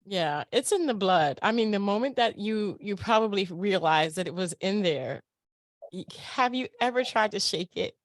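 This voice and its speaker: Lady hosting a podcast, just chatting and asking stuff, dry audio, normal pitch. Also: lively intonation, moderate pace, dry recording. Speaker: podcast interviewer. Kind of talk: casual conversational interviewing.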